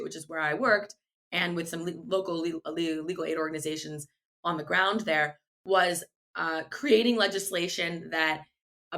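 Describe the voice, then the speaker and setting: Bright-voiced woman, earnest podcast guest. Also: on the low side. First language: Farsi. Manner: earnest, thoughtful, with frequent restarts. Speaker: guest, legal tech founder. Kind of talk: podcast interview answers.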